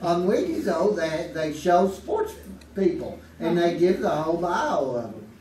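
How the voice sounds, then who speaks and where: A man's voice: high-pitched, big pitch swings, unhurried, a little mellow, a little hiss or hum. A man playing a chatty, rambling contestant on a staged 1950s quiz show. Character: chatty middle-aged male quiz contestant. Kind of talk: live stage radio acting. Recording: roomy theater, far mic.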